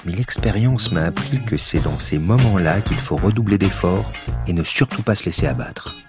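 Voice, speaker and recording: A man's voice: low-pitched, moderate pace, over music. A man playing a stubborn, awkward police detective in a comic audio drama, earnest and self-dramatizing, sometimes tripping over his words. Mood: brooding, earnest.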